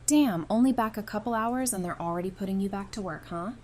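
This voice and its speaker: A woman's voice: mid-range voice, brisk, darkish tone, close to an everyday voice. A woman voice-acting a wry, affectionate apocalypse survivor in an audio drama, casual and dryly teasing. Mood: wry, warm. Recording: noticeable background noise and ambience.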